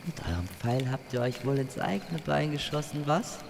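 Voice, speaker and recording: A man's voice: a little high, normal speed, gravelly, big-room sound, background noise, pitched above the performer's own voice. A man voicing a teasing, courtly, light-footed magical woman in a fairy-tale audio drama.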